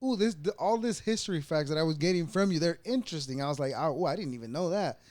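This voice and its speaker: Higher-voiced man from El Salvador, dry sound. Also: animated, fast talker, a little mellow, no room sound. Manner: conversational, restarts and laughs often. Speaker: podcast host. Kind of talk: casual interview chat.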